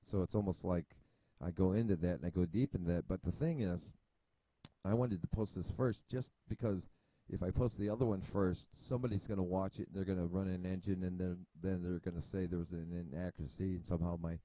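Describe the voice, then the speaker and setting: A man's voice: low voice, fairly even intonation, brisk, mellow, a little hiss or hum, rough recording. A man hosting a casual chess analysis video, thinking aloud at his computer with many fillers and restarts. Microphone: desk mic at a computer.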